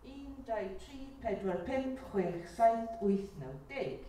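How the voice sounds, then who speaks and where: A woman's voice: fairly high pitch, slow, warm tone, breathy edge. A woman chatting casually and testing microphones in a large room. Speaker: ukulele group member. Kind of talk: casual chat and mic testing. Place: large community hall room. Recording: dull, distant room pickup.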